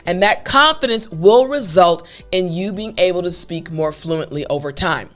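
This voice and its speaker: A woman teaching an English lesson, speaking straight to her learners in an upbeat, emphatic way with deliberate enunciation. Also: lowish voice, soft background music. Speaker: English teacher with an online academy. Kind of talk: upbeat scripted lesson talking to viewers.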